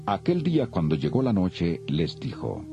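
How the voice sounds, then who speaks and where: Casting: deep-voiced man, solemn yet lively storyteller for dramatized Bible narration over music. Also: normal speed. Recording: dull audio with music bed.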